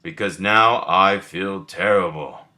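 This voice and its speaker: A man voicing an earnest, helpful, slightly hapless male toad from a storybook, speaking deliberately. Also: deep voice, sharp tone, heavily acted. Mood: weary.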